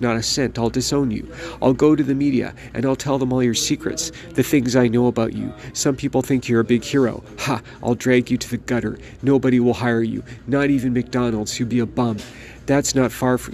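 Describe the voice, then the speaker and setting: A man voicing a domineering elderly mother who scolds her son melodramatically, in a gravelly voice. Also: mid-range voice, a little mellow, lightly acted.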